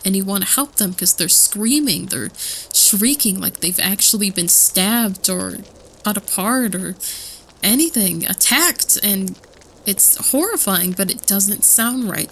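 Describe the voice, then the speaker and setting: A woman's voice: mid-range voice, unhurried, fairly bright tone, slight background noise, low-quality recording. A woman playing a nervous student who rambles through spooky folklore in a hesitant, casual way, repeating herself, in an audio roleplay. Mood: uneasy fascination. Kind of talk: casual campfire storytelling roleplay.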